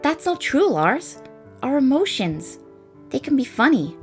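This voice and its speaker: A woman's voice: sits high, sing-song, a little slow, faint music behind. A woman voicing a thoughtful, encouraging young woman in a comic dub of a space adventure. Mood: gentle, encouraging.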